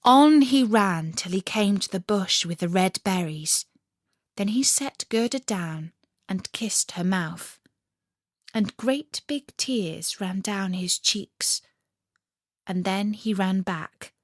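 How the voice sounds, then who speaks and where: A woman reading a fairy tale as a storyteller, with measured, expressive storybook narration. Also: medium pitch, no echo, studio quality.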